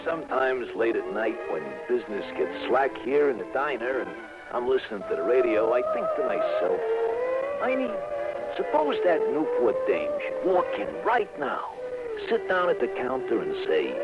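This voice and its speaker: An actor playing a smitten, excitable diner counterman in a comic 1960s radio commercial, with a swooning, exaggerated delivery. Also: medium pitch, normal speed. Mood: dreamy, smitten. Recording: muffled old radio ad over loud music.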